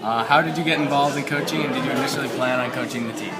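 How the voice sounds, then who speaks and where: A man's voice: mid-range voice, racing. A young man asking prepared questions as an interviewer. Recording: loud crowd din in large indoor space. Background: loud crowd.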